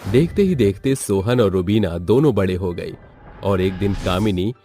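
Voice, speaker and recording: A man's voice: low voice, animated, normal speed, dark tone, faint music behind. A man narrating a scripted story as the storyteller.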